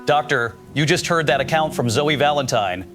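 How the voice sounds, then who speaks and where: A man's voice: on the high side, normal speed, a bit bright, faint music behind, close to an everyday voice. A man playing a concerned radio news host in an audio drama, courteous and earnest as he questions people. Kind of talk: live call-in news show in an audio drama.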